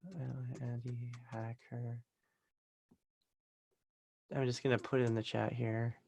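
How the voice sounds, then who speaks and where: A calm, soft-spoken man teaching meditation, guiding a session and then answering questions from an online group. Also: medium pitch, slow, creaky, husky. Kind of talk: guided meditation and live Q&A webinar. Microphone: video call.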